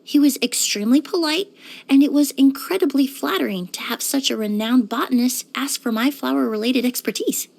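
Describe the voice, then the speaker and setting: An actress voicing a poised young woman perfumer in a video game, speaking in a measured, articulate and refined way. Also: high voice, creaky, darkish tone, exaggerated voice. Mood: pleased, reflective. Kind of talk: scripted video game character monologue.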